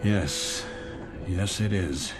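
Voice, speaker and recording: A man's voice: low voice, very slow, music in the background. A man acting in a radio drama as a weary, bewildered businessman on a train, hesitant and stammering. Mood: weary small talk.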